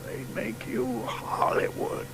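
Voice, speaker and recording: An actor playing a cantankerous, sardonic old exiled poet of eighty, who mutters in whispers and then bursts out loud, in a radio drama. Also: male voice, very high voice, huge pitch swings, takes it slowly, vocal fry, exaggerated voice. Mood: musing. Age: older. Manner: wry, grandiloquent, sardonic. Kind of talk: 1990s radio drama acting. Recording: noisy radio broadcast recording.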